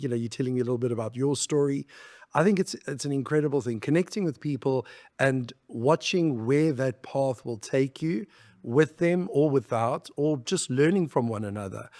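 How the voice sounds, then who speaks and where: A man's voice: mid-range voice, darkish tone. Middle-aged guy from south africa, guest on a podcast, rambles thoughtfully, laughs a lot. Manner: expansive, reflective, laughs easily. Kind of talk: conversational interview.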